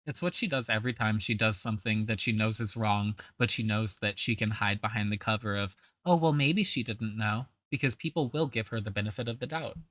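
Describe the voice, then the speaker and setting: A woman's voice: very deep voice, fairly quick, hardly any pauses, a bit bright, dry recording. A woman commenting on a video, sarcastic and scornful. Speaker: reaction commentator.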